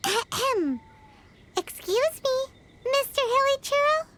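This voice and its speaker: A woman voicing a tiny, childlike fairy companion in a game, excitable, blunt and a bit whiny, with a squeaky voice. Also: slow, very noisy, exaggerated voice. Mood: chirpy. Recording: loud music under the voice.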